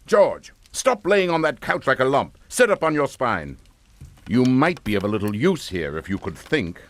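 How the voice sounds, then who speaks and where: A man's voice: medium pitch, sing-song, normal speed. An actor playing a pompous, domineering middle-aged English father in a radio suspense drama, scolding and emphatic. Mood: exasperated, scolding.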